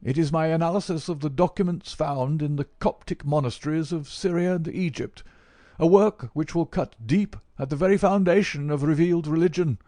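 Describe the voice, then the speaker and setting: Man acting elderly bookish professor, high-pitched for him, mincing, plaintive, effusive, frail invalid, storybook character. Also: normal speed, dark tone, dry recording, studio quality, natural voice. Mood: aggrieved, fussy. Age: older. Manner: mincing, precise, plaintive, ornate phrasing. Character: gaunt old male professor, bedridden, effusive bookworm.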